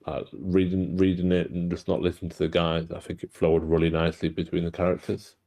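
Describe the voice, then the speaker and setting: A man giving casual feedback in a measured, thoughtful manner. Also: sits low, little pitch movement, warm tone. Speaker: participant giving script feedback.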